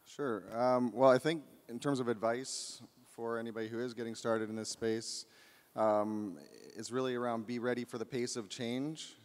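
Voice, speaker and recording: A man answering questions on a conference panel, measured and hedging with fillers. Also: medium pitch, steady tone. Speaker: panelist, cloud business owner. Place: large conference hall stage. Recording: long hall reverb.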